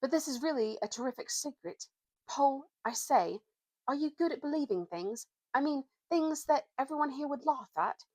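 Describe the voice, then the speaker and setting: Storybook schoolboy, acted by a woman, earnest, secretive, halting, raised pitch, dry close sound. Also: expressive, a little mellow, no echo. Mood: confiding, urgent. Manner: British schoolboy slang, solemn, halting.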